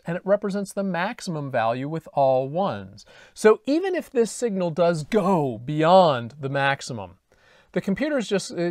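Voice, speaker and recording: A man in his fifties teaching to camera in a deliberate, emphatic way, checking in with rhetorical questions. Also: a little high, a little mellow, no room sound, studio quality. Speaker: instructor. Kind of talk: explanatory lecture to camera. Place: indoor studio with dark backdrop and lightboard. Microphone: lapel mic.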